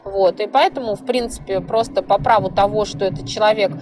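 A woman's voice: mid-range voice, brisk. A woman hosting a podcast, talking conversationally and expressively in a monologue. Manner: expressive, often acts out imagined inner voices. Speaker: podcast host.

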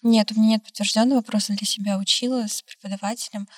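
A young woman appearing as a podcast guest, chatting in a lively way and laughing often between phrases. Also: medium pitch, fast, no room sound, clean studio sound. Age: young adult. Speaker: guest, law graduate studying abroad. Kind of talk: casual interview chat.

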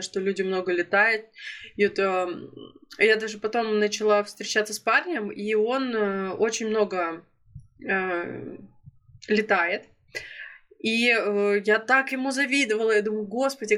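A woman's voice: medium pitch, a bit bright. A young woman in her twenties from Russia, telling a personal travel story in a casual vlog, chatty with frequent fillers and self-corrections. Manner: chatty, many fillers and self-corrections, laughs now and then. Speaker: storytelling vlogger.

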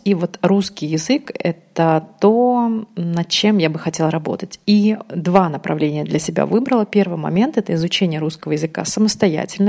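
A woman in her thirties from Uzbekistan, hosting a casual podcast monologue, thoughtful and often pausing with ums to correct herself. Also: fairly low pitch, creaky, darkish tone. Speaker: podcast host.

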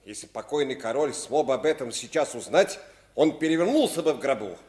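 A man's voice: medium pitch, animated, a little fast, a little mellow, natural voice. A man playing a haughty, scandalised English lord with an imperious theatrical manner in a radio play. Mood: outraged. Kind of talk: 1950s Russian radio play acting.

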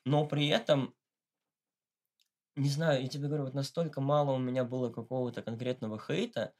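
A man's voice: mid-range voice, steady tone, brisk. A man giving a casual, slangy and ironic interview as a podcast guest. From Russia, Moscow. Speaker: interview guest, satirical blogger.

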